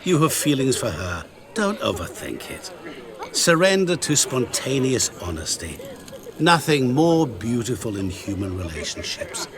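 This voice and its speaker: An actor playing a sly, sinister man posing as a humble merchant, with smooth, calm, quietly menacing speech in a game cutscene. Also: mid-range voice, a little slow, a crowd in the background. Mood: playful.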